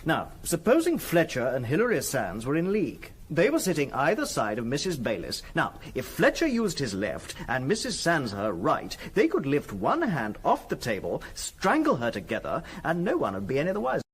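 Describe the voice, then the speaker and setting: An actor with a British accent playing a keen, deferential police subordinate in a radio drama, questioning and a little wry. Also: male voice, fairly high pitch, wildly swooping pitch, normal speed, hardly any pauses, husky, exaggerated voice. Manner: eager, questioning, slightly wry. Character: deferential male police subordinate, keen, doubting, drily cheeky.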